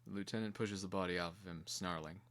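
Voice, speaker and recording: A man narrating a screenplay as a scripted dramatic reading, in a measured way. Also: low voice, steady tone, fairly bright tone, no room sound.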